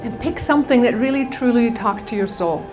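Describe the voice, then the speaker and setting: A woman with a fairly high voice speaks as a workshop teacher. She tells anecdotes in a storytelling manner and gives direct, motivational guidance to her listeners, with some music in the recording. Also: brisk. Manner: animated storytelling, addressing the audience directly. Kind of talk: motivational workshop talk with anecdotes and guided exercise.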